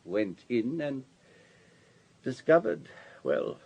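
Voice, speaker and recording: A polished, well-to-do man of the world in a radio play. His pitch swings freely as he confides and explains with courteous self-assurance, like a practised storyteller. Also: sing-song, slow, gravelly, darkish tone, breathy edge, full character voice. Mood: confiding, measured. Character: urbane, wealthy older businessman, discreet and self-assured. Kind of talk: radio drama acting.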